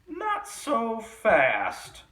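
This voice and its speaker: A man's voice: very high-pitched, huge pitch swings, extremely slow, sharp tone, voice pushed up, heavily acted. A man voicing a strict, menacing witchlike woman teacher from a storybook, snapping and hissing out commands.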